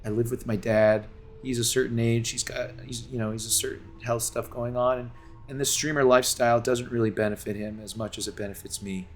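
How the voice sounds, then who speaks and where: A man's voice: mid-range voice, fairly even intonation, a little fast, soft background music. A game streamer from Los Angeles talks to his viewers in a long, earnest, rambling monologue full of restarts and fillers, speaking from home.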